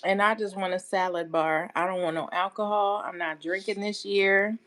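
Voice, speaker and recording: A woman's voice: mid-range voice, steady tone, moderate pace, a loud crowd. An American woman in her forties chatting casually on a livestream. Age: 40s.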